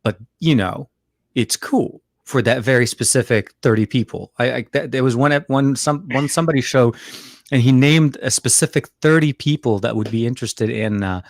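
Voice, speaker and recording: A man's voice: mid-range voice, normal speed, no echo, clean studio sound. A man from Lebanon co-hosting a casual tech show, playful and chatty with lots of fillers. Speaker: co-host.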